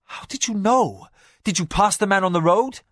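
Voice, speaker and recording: A man's voice: medium pitch, expressive, a little slow, fairly bright tone, breathy edge, no room sound, studio quality. A man voicing a young male nobleman, urgent and impassioned, who gives orders to his household. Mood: wondering. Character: young male noble, desperate, commanding, anguished.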